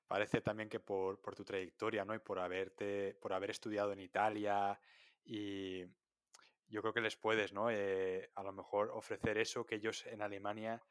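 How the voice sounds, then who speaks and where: A man from Spain with a Spanish accent hosting an interview podcast, calmly affirming and thanking his guest. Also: on the low side, moderate pace, no room sound, studio quality. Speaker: podcast host. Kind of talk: interview host.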